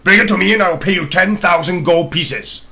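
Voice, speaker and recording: A man puts on an evil storybook king with a gravelly, sharp-edged voice. He is pompous and deliberate, ruthless beneath his mock kindness. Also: high voice, voice pushed up. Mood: cold menace. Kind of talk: storybook character reading.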